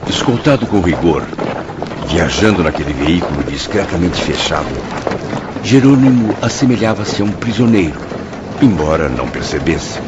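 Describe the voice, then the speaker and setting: A man's voice: low voice, expressive, moderate pace, heavy background noise. A man delivering grave scripted narration in a radio drama. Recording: dull audio with music behind.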